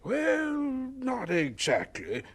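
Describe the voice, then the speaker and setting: Radio drama elder: gentle old American man of science, husky, lilting, modest and hesitant. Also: high voice, wildly swooping pitch, heavily acted. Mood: gentle, reminiscing. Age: older. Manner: mild, hesitant, unhurried.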